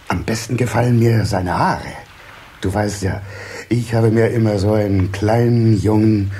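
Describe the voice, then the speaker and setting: An actor playing a doting, excitable water-sprite father in a children's audio play, hemming and easily delighted. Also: on the low side, unhurried, long pauses, a little hiss or hum, natural voice. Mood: curious, pleased.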